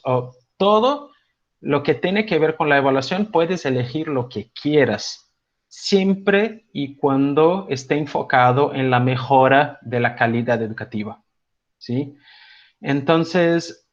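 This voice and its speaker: Non-native Spanish-speaking man teaching online. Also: medium pitch. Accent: Mexico City Spanish with some Buenos Aires Argentine. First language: not Spanish. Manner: relaxed and conversational, many hesitations and tag questions. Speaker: seminar instructor. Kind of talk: live online lecture. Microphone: video call. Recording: slightly dull lo-fi video call audio.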